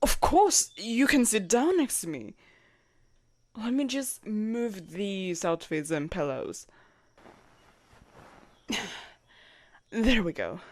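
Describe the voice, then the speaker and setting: Young female knight commander, played by a woman, audio roleplay. Formal, loyal, easily flustered; unhurried delivery, lively intonation. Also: mid-range voice, no echo. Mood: fond, gentle. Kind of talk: roleplay audio drama acting.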